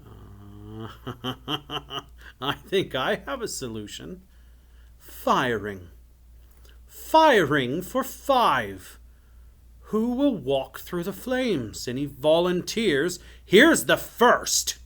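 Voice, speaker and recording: A menacing fire wizard tyrant from a storybook, with a raised pitch that swings widely. He stretches his words theatrically and sounds sinister and imperious. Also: sing-song, slow, fairly bright tone, full character voice. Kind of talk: dramatic storybook villain.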